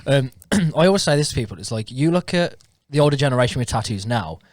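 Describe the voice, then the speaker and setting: A man's voice: mid-range voice, expressive, quick pace, darkish tone, no echo, clean studio sound. A man in his late twenties with a British accent, co-hosting a podcast and telling things emphatically with plenty of swearing. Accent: British English. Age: 20s. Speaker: podcast co-host. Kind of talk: casual chat.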